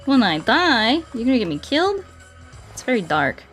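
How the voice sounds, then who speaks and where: A woman doing a casual gaming livestream, rambling playfully and thinking out loud as she chats with viewers. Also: fairly high pitch, very animated, normal speed, soft background music. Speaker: streamer playing Minecraft and chatting with viewers.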